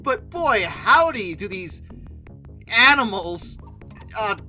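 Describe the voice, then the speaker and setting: A woman's voice: mid-range voice, big pitch swings, takes it slowly, bright, forceful tone, faint music behind. A woman host giving casual, opinionated list commentary in an emphatic way, with theatrically exasperated asides. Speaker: host of a paleontology video channel.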